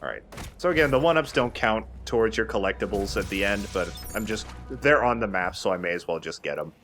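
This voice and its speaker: A man's voice: fairly low pitch, expressive, a little fast, fairly bright tone, faint music behind. A man giving casual, chatty commentary as he explains a video game. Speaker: let's play host.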